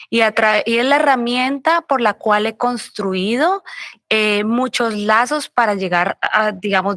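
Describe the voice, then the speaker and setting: A woman's voice: medium pitch, a bit bright, no echo. A journalist from Colombia's coast, answering interview questions reflectively and searching for her words. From Colombia, Pacific coast. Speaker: journalist guest.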